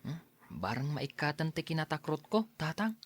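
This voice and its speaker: An actor playing a timid, earnest youth in a radio drama, speaking plaintively and deliberately. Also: mid-range voice, expressive, dry recording, close to an everyday voice. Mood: tentative. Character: timid earnest youth Aljun, son and younger brother.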